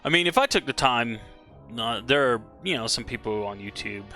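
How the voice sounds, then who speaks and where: A man giving casual, rambling live-stream commentary while playing a game, slightly hoarse and often correcting himself. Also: medium pitch, lively intonation, normal speed, pushed and bright, faint music behind.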